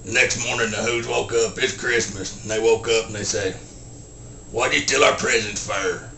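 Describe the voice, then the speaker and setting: A man voicing a slow, simple-minded rural Southern man, telling a folksy story in halting, deadpan short sentences with grunted hums and a Southern US accent. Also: lowish voice. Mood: deadpan, plodding. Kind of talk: folksy storytelling, film character impression. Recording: roomy echo, faint background noise.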